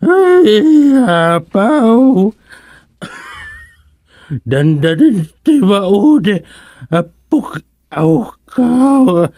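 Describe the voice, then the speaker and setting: Slow, muttering, senile old grandparent. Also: mid-range voice, very animated, dark tone, no room sound, studio quality, full character voice. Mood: flustered. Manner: halting, muttering, full of grunts and hums. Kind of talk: comedic Ilocano radio drama acting.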